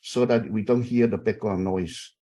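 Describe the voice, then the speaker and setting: Halting, mellow-voiced older instructor. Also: male voice, lowish voice. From Taiwan. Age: middle-aged. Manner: halting, many fillers and repeated okays. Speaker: university instructor. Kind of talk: online class, informal chatting. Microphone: video call.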